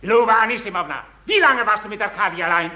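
A sharp, high-voiced haughty count. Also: male voice, expressive, fast talker, full character voice. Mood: imperious, sharp. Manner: curt, haughty, clipped questioning. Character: imperious, cold aristocratic count interrogating his underlings. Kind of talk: 1950s German radio drama acting.

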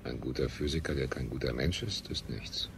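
An earnest scientist with a very deep, creaky voice. He declaims solemnly and pompously about high ideals, as in a 1970s science fiction radio play. The noisy recording shows its age. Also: moderate pace. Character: earnest, high-minded male scientist preaching humanity.

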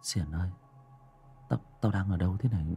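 Guy doing a scared stammery young village dude, husky. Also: medium pitch, animated, slow, a bit bright, faint music behind, voice pushed up, exaggerated voice. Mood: trembling. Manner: stammering, panicked. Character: terrified young village man.

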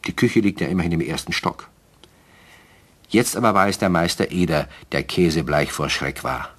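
A man's voice: low-pitched, moderate pace, a bit bright. A man narrating a radio play as a storyteller, with scripted, slightly wry delivery.

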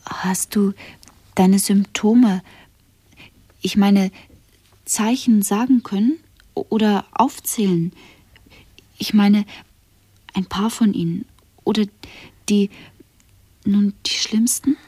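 An actress playing a curious, gentle female companion in a radio play, with a soft, whispery, breathy voice and hesitant questions. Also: mid-range voice, fairly even intonation, no echo. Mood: hesitant, probing. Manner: slow, hesitant, tentative questioning. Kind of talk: 1970s German radio play acting.

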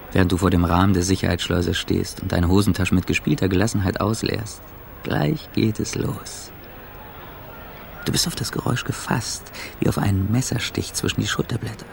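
Faint ambience sits behind a male radio drama narrator. His voice is low and full of gravel. He tells things with deliberate, ominous, wry fatalism, as if nothing can be escaped. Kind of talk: second-person radio play narration. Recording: faint background ambience noise.

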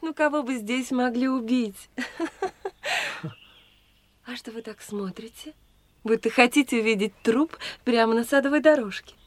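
An actress plays a young woman in a radio play. She is cool and teasing, with a fairly high voice. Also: moderate pace, pauses often, natural voice. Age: young adult.

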